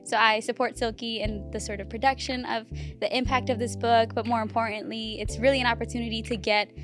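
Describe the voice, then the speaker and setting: A woman's voice: on the high side, little pitch movement, fairly quick, over music. A woman hosting a podcast, first reading a prepared script and then chatting casually with her guest using fillers. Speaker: podcast host. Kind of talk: scripted intro then casual interview chat.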